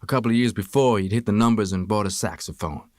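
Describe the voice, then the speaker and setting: An American man reading a first-person memoir as an audiobook narrator, with expressive, measured storytelling. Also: lowish voice, no echo, clean studio sound.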